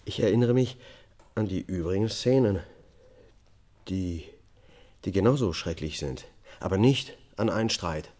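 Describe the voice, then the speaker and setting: An actor playing a subdued, haunted man on trial for killing his family, testifying in a measured, matter-of-fact way in a radio drama. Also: deep voice, expressive, dark tone. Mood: defensive.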